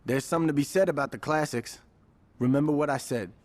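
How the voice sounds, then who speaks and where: A man's voice: on the high side, fast, a little mellow, close to an everyday voice. A man acting an easygoing but businesslike street gang lieutenant in a video game.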